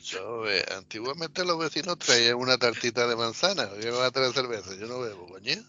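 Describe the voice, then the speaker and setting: A man co-hosting a podcast, making deadpan, crude jokes in sarcastic casual chat about a film. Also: mid-range voice, brisk, no room sound. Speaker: podcast co-host. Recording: dull lo-fi podcast audio.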